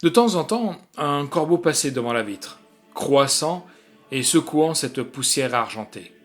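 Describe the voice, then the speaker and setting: A man narrating a literary short story in an expressive, theatrical way with deliberate phrasing. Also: fairly high pitch, faint music behind.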